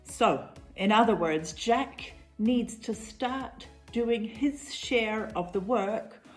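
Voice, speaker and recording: Slow, measured New woman teacher. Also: a little high, soft background music. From New Zealand. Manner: clear, measured explanations with repeated examples. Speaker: English teacher. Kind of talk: online English lesson.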